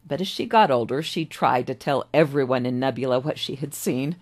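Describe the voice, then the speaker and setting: A woman voicing a gentle, wistful, very old man in a story, musing kindly with little rhetorical asides. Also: a bass voice, fairly quick. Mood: wistful, confiding.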